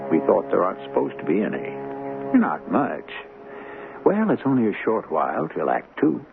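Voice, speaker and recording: Deep, gravelly male radio host over music. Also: huge pitch swings, normal speed. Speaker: radio drama host and narrator. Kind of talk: scripted narration, theatrical radio hosting. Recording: narrowband old radio recording.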